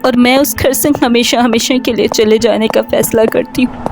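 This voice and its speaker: A woman's voice: fairly high pitch, steady tone, moderate pace, gravelly. A woman playing a fearful young wife who narrates her own horror story in a dramatic scripted style. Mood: fearful storytelling. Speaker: first-person story narrator. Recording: background music under voice.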